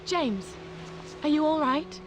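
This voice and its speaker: An actress playing a flirtatious, carefree, glamorous socialite in a video game cutscene, with a breezy way of talking. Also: female voice, high-pitched, animated, takes it slowly, noisy background. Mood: concerned. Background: background music.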